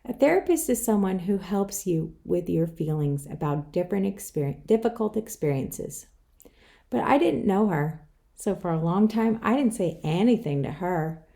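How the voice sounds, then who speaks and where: A woman's voice: lowish voice, animated, moderate pace, vocal fry, dark tone. A woman author reading her own children's book aloud, telling it in the first person. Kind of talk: scripted children's story read-aloud.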